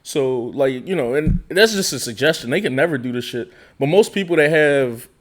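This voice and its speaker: A man's voice: mid-range voice, lively intonation, normal speed. A man from the United States co-hosting a reaction show, rambling in loose casual chat with trailing thoughts. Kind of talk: casual group chat reacting to a music video.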